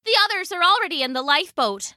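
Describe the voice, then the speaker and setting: A woman's voice: high-pitched, wildly swooping pitch, measured pace, bright, forceful tone, no room sound, studio quality, voice pushed up, heavily acted. A woman voicing a hearty retired female pirate sailor with a salty, exclamatory way of talking, in a storybook narration. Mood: urgent.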